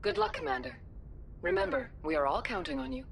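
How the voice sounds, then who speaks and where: A composed alien councilor, formal and diplomatic. Her delivery is quick and melodic, a sci-fi game leader over faint noise. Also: high voice, wildly swooping pitch, gravelly, exaggerated voice. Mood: solemn.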